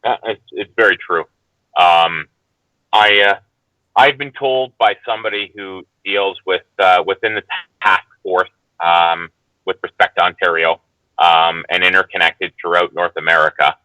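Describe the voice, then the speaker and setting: A man with a low but bright, forceful voice gives unscripted commentary, recorded very dry. His delivery is halting and hesitant, and he often qualifies his statements. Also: steady tone, dry recording, dull audio. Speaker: guest commentator. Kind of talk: casual, unscripted discussion.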